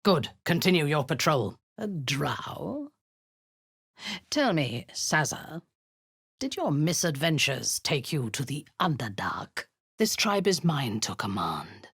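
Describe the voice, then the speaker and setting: A ruthless drow war leader lady for a game, super breathy n husky, slow, menacing, bossy, kinda seductive. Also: low voice, sing-song, a bit bright, no room sound, studio quality, exaggerated voice. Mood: cold command. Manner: commanding, menacing, deliberate. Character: ruthless drow female war commander, zealous, cruel, seductive. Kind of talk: video game voice acting.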